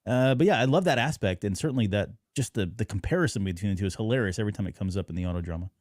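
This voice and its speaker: A man hosting a podcast, chatting casually in a halting, agreeable, rambling way. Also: lowish voice, lively intonation, darkish tone, no echo, clean studio sound. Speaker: podcast host.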